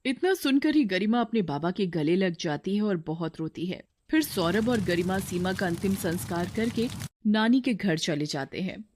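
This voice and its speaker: A woman's voice: mid-range voice, a little mellow. A woman reading scripted narration as the storyteller of a moral tale.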